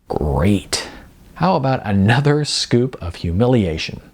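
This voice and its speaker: A man narrating a story in an expressive storytelling style. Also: lowish voice, unhurried, gravelly, slight background noise.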